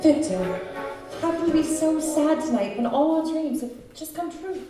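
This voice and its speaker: A woman's voice: high-pitched, expressive, moderate pace, loud music behind the voice. A woman playing a devoted, worried young bride in earnest, theatrical old-time radio melodrama. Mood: tender concern. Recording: roomy live hall, distant mic.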